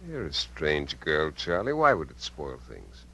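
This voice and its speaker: An actor playing a smooth, secretive uncle in a 1950s radio drama, measured and evasive. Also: male voice, rumbling low, wildly swooping pitch, sharp tone, heavily acted. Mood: smooth, affectionate. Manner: measured, evasive, halting when cornered. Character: smooth, secretive middle-aged uncle hiding menace. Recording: noisy vintage 1950s radio broadcast.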